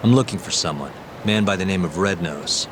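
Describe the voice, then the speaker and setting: Deep, cool young man, played by an actor. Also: a little fast, background noise, natural voice. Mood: cool, curious. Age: young adult. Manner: terse, low-key, self-assured. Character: cool, determined young man hunting for someone. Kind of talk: video game cutscene acting.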